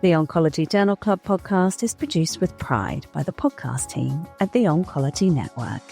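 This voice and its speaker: A woman producer reading a scripted podcast introduction in an even, read-out way. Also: low voice, normal speed, vocal fry, soft background music.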